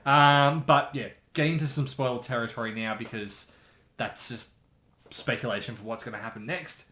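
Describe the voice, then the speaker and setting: A man co-hosting a casual reaction chat, speculating and thinking aloud in a halting way. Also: mid-range voice, sharp tone. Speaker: co-host, anime specialist. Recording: roomy, echoey sound.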